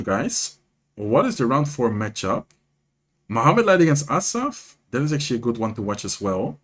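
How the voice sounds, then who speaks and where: A young man with a Dutch accent, chatting casually on a live stream, reading and answering viewers while commenting on matches. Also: medium pitch. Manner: chatty, reads and answers chat, animated. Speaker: streamer and content creator.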